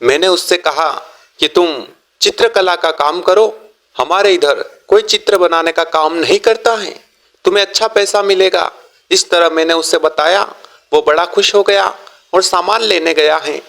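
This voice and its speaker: A man's voice: high voice, a little slow, vocal fry, a bit bright, heavily acted. A man voicing a helpful young merchant's son in a cartoon moral story, eager and explanatory in manner. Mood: earnest, persuasive.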